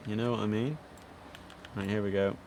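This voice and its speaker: Low-voiced man streaming an air traffic sim. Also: steady tone, moderate pace, a little mellow. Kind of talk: casual live-stream commentary mixed with controller radio phraseology.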